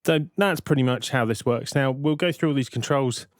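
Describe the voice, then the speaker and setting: A man giving a casual, matter-of-fact software tutorial as a plain-spoken voice-over. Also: mid-range voice, normal speed, over music. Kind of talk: casual tutorial narration over screen recording.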